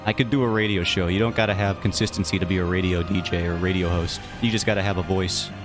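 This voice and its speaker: A man's voice: deep voice, steady tone, fast. A man streaming while he draws his webcomic, who rambles in a casual, self-mocking and jokey way. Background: faint background music.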